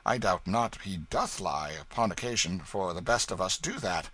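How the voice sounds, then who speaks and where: A man's voice: low voice, animated, moderate pace, fairly bright tone, husky, full character voice. A man voicing a wily, bullying beggar-thief in a storybook, blustering and cajoling in archaic rogue's speech.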